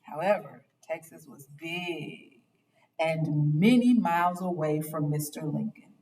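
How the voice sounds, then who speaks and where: A woman reading a children's picture book aloud with expressive, dramatic and deliberate phrasing. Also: low-pitched, distant mic.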